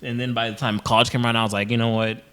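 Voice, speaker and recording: Bright-voiced man telling rambling stories. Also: mid-range voice, little pitch movement, racing, smooth. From Haiti. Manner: rambling storytelling with many fillers and restarts. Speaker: podcast host. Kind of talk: casual podcast chat.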